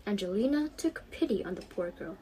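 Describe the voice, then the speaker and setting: A teenage young woman reading her own story aloud in an animated storybook style. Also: mid-range voice, brisk, husky, low-quality recording.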